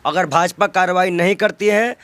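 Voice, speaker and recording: Male interviewee. High voice, dry sound, combative and emphatic political argument. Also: moderate pace, a bit bright, smooth, dry recording. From North India. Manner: argumentative, emphatic, with rhetorical questions and repetition.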